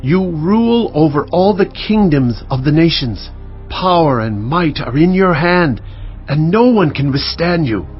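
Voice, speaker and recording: Devout ancient king, declamatory and earnest, higher male voice, slightly muffled audio over music. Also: animated, unhurried. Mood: fervent pleading. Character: pleading, devout king of Judah praying before his people. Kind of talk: dramatized audiobook acting.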